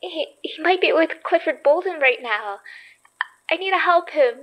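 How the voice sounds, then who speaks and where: High-voiced, halting, anxious heroine. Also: female voice, lively intonation, fairly bright tone, poor audio. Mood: worried, pleading. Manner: halting, stammering. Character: anxious, loyal young woman, earnest and questioning. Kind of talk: audio drama acting.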